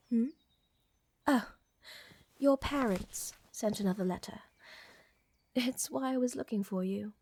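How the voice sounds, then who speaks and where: A woman plays an aristocratic vampire countess for an intimate ASMR roleplay. She speaks very slowly in a fairly high yet mellow voice, softly breathy and often near a whisper. Her manner is elegant, doting and teasing, like a protective lover soothing her beloved. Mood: teasing, affectionate. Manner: teasing, soothing, elegant formal phrasing with sighs.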